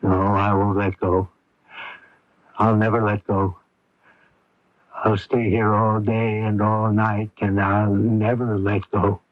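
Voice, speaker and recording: A man playing a middle-aged, devoted, anxious father in a radio drama, speaking in a halting, earnest, plain-spoken way. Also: fairly low pitch, level tone, no echo, faint music behind. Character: devoted, anxious, simple-minded father of ailing daughter. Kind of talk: 1980s radio drama acting with first-person narration. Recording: dull lo-fi AM radio recording.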